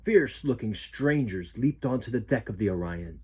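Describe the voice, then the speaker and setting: A man reading a children's story aloud as a storyteller, expressive and deliberate. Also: fairly low pitch, husky.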